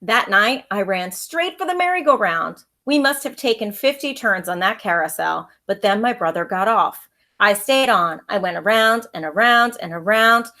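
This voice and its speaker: A woman's voice: mid-range voice, lively intonation, normal speed, bright, forceful tone. A woman who is an elementary school teacher, reading a children's storybook aloud with expression.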